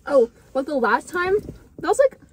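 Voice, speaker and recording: A young woman with a high voice tells a meandering story to friends, filling every pause with "like" and breaking into giggles. She is sitting in a parked car at night and is picked up by a phone on the dashboard. A faint hiss sits under her voice. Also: big pitch swings. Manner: rambling, giggly, heavy use of "like". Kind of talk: casual chat.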